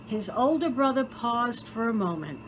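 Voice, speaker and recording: A woman reading a children's story aloud in an expressive, theatrical way, with deliberate dramatic pauses. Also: on the high side, slight background noise. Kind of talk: expressive scripted story narration.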